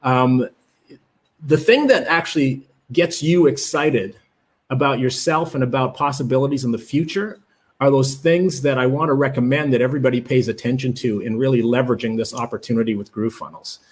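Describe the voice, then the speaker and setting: A man's voice: medium pitch, a little fast. A man with a Canadian accent, born in Jamaica, hosting a live webinar, talking with enthusiasm and many fillers while greeting viewers from the chat. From Jamaica, raised in Canada. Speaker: livestream presenter.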